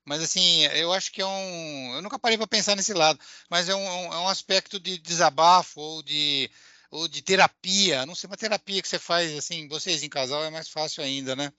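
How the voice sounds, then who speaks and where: A man's voice: on the high side, a little fast, bright, forceful tone, no room sound. A male podcast host thinking aloud in a reflective way as he responds to his guests. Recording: dry, muffled lo-fi audio.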